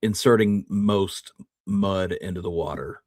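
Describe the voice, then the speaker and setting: A man's voice: deep voice, measured pace, a little mellow, no echo, studio quality. A man co-hosting a history talk show, chatting casually and fielding listener questions. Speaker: co-host reading listener questions. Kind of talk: casual chat.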